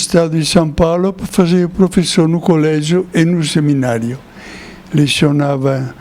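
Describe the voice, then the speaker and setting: An older Italian-born priest, a man, telling his memories slowly in an interview, with small chuckles. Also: on the high side, a little mellow. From Italy. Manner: slow storytelling with chuckles.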